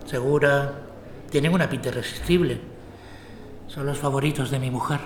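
A man's voice: medium pitch, normal speed, reverberant, a little hiss or hum, natural voice. A man acting a concerned, kindly husband and family man in a Spanish radio drama. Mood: gentle.

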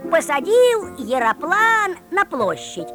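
A woman playing a theatrical folk-tale storyteller, delivering rhymed narration in a drawn-out, exaggerated sing-song. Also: very high voice, sing-song, over music, full character voice. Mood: playful.

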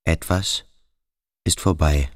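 An actor playing a cold, aloof, brooding boarding-school pupil in a radio drama, with a detached, dismissive manner. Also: male voice, very deep voice, steady tone, slow, no room sound, clean studio sound. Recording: music behind the voice.

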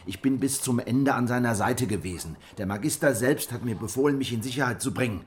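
An actor playing a weary, distrustful survivor of a crushed peasant revolt in a German radio drama, brooding and bitter. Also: male voice, medium pitch, a little fast, natural voice. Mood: agitated, distrustful. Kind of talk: German radio drama dialogue and first-person narration. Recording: music behind the voice.

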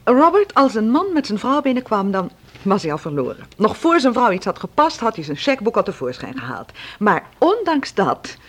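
An actress playing a sharp-tongued, opinionated woman in a radio drama. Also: a little high, sing-song, normal speed. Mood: exasperated, critical.

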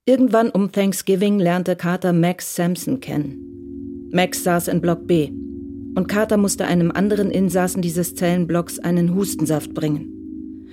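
A woman's voice: fairly low pitch, steady tone, normal speed, dark tone. A woman narrating a scripted audio drama in Standard German. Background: music.

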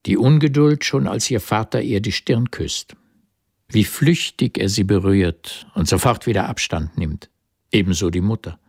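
A man's voice: fairly low pitch, unhurried, darkish tone, slightly rough, no room sound, clean studio sound. A man narrating a historical radio drama as its scripted literary storyteller. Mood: observant.

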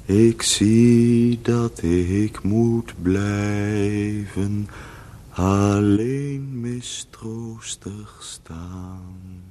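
An actor playing a lonely lovesick young poet in a radio play, speaking rhymed verse in a measured, mournful way. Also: male voice, fairly low pitch, steady tone, mellow. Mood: lovelorn. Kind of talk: 1970s radio drama verse recitation.

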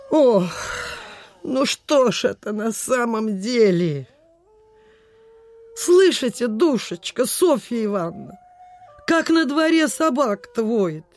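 Slow, fretful provincial landlady. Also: on the high side, darkish tone. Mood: anxious, fretful. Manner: folksy, sighing, gossipy, old-fashioned speech. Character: fretful superstitious provincial landlady, chatty amateur healer. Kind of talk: Soviet radio theatre acting.

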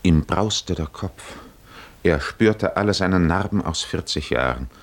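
A man narrating a 1950s German radio drama as a measured, solemn literary storyteller. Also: low-pitched, expressive, exaggerated voice. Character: solemn male storyteller of an epic.

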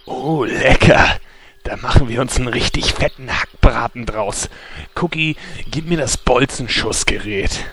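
Man acting as crude male adventurer, average pitch, relishing, mocking, fantasy comedy audio play. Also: a little slow, crowd noise, close to an everyday voice. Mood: gleeful.

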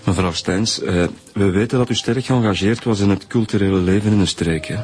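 An actor playing a down-to-earth Flemish police detective in a radio drama, questioning carefully with small hesitations. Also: male voice, fairly low pitch, a little fast, soft background music, close to an everyday voice. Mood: probing, polite. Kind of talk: naturalistic radio drama acting.

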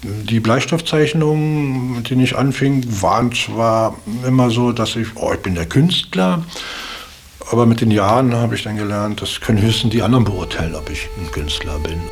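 A middle-aged man reflecting in unscripted talk as an interviewee, halting and repeating words as he searches for phrasing. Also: mid-range voice.

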